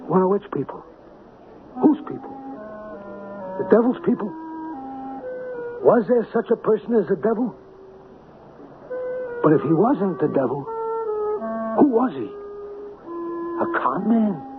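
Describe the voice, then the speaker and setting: An actor playing a stubborn, doubting small-time hustler with an American accent and slangy talk, in an old-time radio drama. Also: male voice, high voice, very animated, slow pace, pauses often, over music, full character voice. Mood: musing, wary. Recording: tinny, noisy 1970s radio broadcast.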